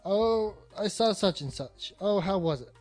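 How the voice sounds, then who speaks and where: A man's voice: sits high, lively intonation, measured pace, darkish tone, faint music behind. A man talking casually and emphatically about films as a podcast co-host, opinionated and swearing freely. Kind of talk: casual film discussion chat.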